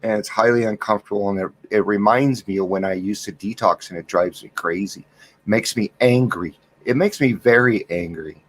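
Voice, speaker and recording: A man hosting a recovery livestream, talking softly in a candid, rambling way while unwell and worn out. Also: lowish voice, normal speed, slight background noise. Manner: rambling, candid, self-deprecating, many restarts. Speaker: livestream host of a recovery reflection. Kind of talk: casual livestream chat. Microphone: computer mic with gain turned up.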